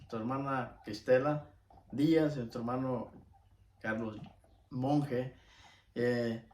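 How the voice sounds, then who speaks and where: A man's voice: medium pitch, darkish tone. Hesitant male host in echoey room. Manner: hesitant, repeats words. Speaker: church interview host. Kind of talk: informal religious introduction. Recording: roomy echo, distant microphone.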